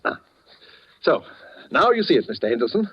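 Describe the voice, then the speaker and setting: A man's voice: mid-range voice, big pitch swings, normal speed, breathy edge. An actor playing a suave, scheming middleman in a 1940s radio thriller, elaborately polite and wordy with purring questions. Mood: smug, insistent. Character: suave, scheming middleman with courtly, wheedling manner. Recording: dull vintage 1940s radio recording.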